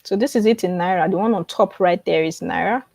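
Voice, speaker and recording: A woman's voice: lowish voice, fairly quick, vocal fry, darkish tone, slightly rough, no echo. Woman coaching on a livestream, dry sound. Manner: repeats phrases and checks in often. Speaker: online publishing coach hosting a live webinar. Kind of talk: informal live teaching and promotion, answering viewer questions.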